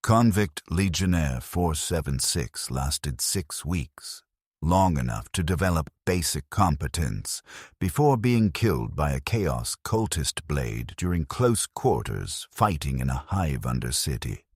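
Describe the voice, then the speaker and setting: A man reading a scripted first-person military sci-fi story in a formal, measured, detached manner. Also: extremely low pitch, very animated, a little mellow, husky, no room sound, clean studio sound. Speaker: narrator of fiction stories.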